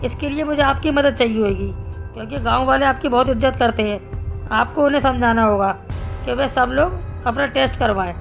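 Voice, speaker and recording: A woman voicing a concerned male village doctor in an animated Hindi story, explaining things in a measured way. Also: high-pitched, creaky, lo-fi sound. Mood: earnest. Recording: music behind the voice.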